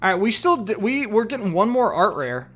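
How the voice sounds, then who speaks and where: A man's voice: high voice, very animated, brisk, fairly bright tone, faint music behind. A man chatting casually on a live stream, reading viewer donations and comments aloud. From United States. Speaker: card collector streamer.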